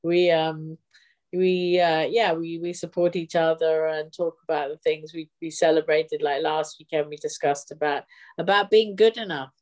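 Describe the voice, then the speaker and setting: A woman's voice: low voice, fairly even intonation, moderate pace, a little mellow. A woman, a guest who runs an online spiritual support group, talking in an effusive, chatty way with frequent laughter. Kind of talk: casual video chat interview.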